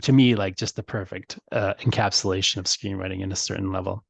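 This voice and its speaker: A man's voice: sits low, steady tone, a little fast, vocal fry, breathy edge, dry recording. A man hosting a podcast interview in a casual conversational way, circling discursively toward his questions. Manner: discursive, rambling build-up to questions.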